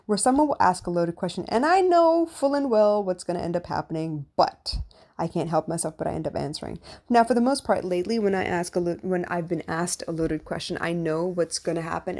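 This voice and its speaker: A woman with a deep, husky voice talks through her own experiences on a solo podcast. Her pitch sits on the low side, and her delivery is earnest and reflective, loose and conversational. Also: darkish tone. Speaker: podcast host and relationship coach.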